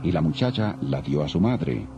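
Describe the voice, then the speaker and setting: Male Bible narrator, low and warm, solemn storytelling; lo-fi with faint music. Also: sing-song, normal speed, background noise. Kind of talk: dramatized scripted Bible narration. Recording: dull lo-fi audio, faint music.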